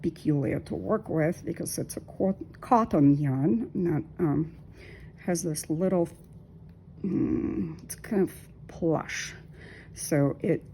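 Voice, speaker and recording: Low, gravelly-voiced woman in her fifties. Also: mellow, slight background noise, low-quality recording. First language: Russian. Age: 50s. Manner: unhurried, hesitant with many ums. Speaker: knitting vlogger. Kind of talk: casual talk while knitting.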